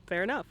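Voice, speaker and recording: A man interviewing a guest in a casual, playful chat, quick to laugh. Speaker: interviewer, stream host.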